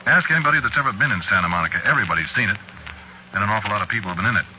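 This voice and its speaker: A man's voice: lowish voice, expressive, very fast, over music, close to an everyday voice. An American actor playing a world-weary murderer confiding his tale conversationally in a 1940s radio drama monologue. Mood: casual, explanatory. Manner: conversational, colloquial, confiding, wry. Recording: noisy muffled 1940s radio recording.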